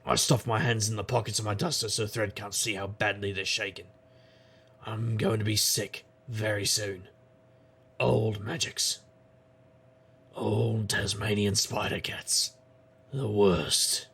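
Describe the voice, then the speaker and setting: Man playing a gravelly, husky, world-weary detective. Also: on the low side, little pitch movement, a little slow, fairly bright tone, heavily acted. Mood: grim, intense. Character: wry, world-weary male supernatural private detective. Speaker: lead actor and narrator. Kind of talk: first-person noir detective audio drama narration.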